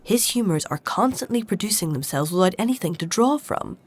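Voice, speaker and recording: A woman playing a learned, stubborn alchemist-healer in a dark fantasy audio drama, measured and dryly sardonic as she explains. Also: mid-range voice, animated, breathy edge. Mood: earnest, explaining.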